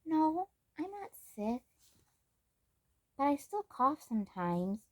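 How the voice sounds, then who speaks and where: A woman voicing a gentle, bravely resigned young servant girl in a storybook. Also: high-pitched, big pitch swings, slow pace, voice pushed up, full character voice.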